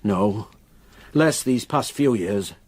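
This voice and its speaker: An actor playing a grave, reluctant local countryman in a radio drama, with a solemn, halting way of telling a dark story. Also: on the low side, lively intonation. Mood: haunted, ominous.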